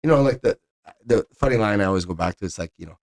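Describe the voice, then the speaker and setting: Low-voiced podcast host. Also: male voice, expressive, fast, a little mellow. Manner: agreeable, frequent affirmations. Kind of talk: casual interview chat.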